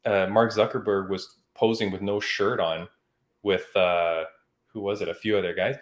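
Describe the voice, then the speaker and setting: A man from Canada hosting a podcast, his voice sitting a little low. He talks in an easygoing, rambling way, full of "like" and "I mean". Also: moderate pace. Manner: relaxed, chatty, frequent "like" and "I mean". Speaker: podcast host. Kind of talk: casual podcast chat.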